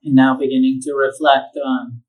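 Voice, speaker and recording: A man leading a guided meditation, giving slow, calm instructions with long pauses. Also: medium pitch, far from the mic, phone-quality sound. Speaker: meditation teacher.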